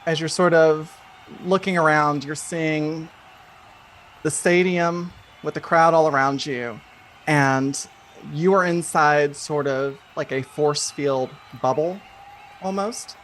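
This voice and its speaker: A man narrating an improvised tabletop game as game master, in a halting, conversational way. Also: fairly high pitch, steady tone, fairly bright tone, a little hiss or hum.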